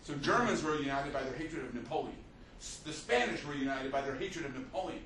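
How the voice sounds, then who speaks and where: A man's voice: fairly high pitch, animated, fairly quick, fairly bright tone, a little hiss or hum. A man giving an unscripted history lecture as a teacher, telling it like a story, with many fillers and restarts. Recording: echoey room, mic at a distance.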